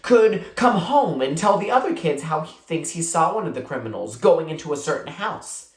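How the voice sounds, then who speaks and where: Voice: mid-range voice, sing-song, normal speed, lightly acted. A reader voicing a shy, imaginative sixth-grade girl from a children's storybook, hesitant and earnest. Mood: excited. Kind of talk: children's storybook character read aloud. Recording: roomy, echoing sound.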